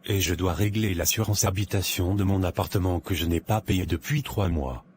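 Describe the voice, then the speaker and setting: A man playing a vain, broke young office worker who lives beyond his means in an animated moral tale. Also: low-pitched, moderate pace, slight background noise, lightly acted. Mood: defiant.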